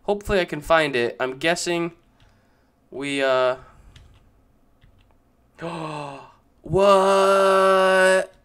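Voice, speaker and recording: A young man casually commenting on his own gameplay, chatty and excitable, talking to his viewers. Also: fairly high pitch, drawn-out words, pauses often, smooth. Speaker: gaming YouTuber.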